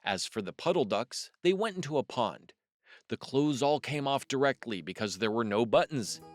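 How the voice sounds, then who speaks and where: Animated man reading a children's storybook. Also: medium pitch, moderate pace, dry recording, clean studio sound. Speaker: storyteller, host of a read-aloud series.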